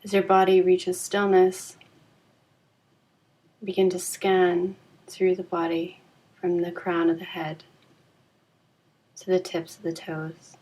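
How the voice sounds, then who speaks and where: Low-pitched woman guiding a calm meditation. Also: slightly rough, low-quality recording. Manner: calm, soothing, unhurried with long pauses. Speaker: yoga nidra guide. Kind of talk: guided relaxation meditation.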